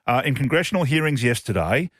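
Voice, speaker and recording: A man's voice: mid-range voice, sing-song, fairly bright tone, no room sound. Emphatic Australian male radio host, dry studio sound. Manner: emphatic, repetitive, halting. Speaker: radio talk show co-host. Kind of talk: live breakfast radio talk. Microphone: close studio radio microphone.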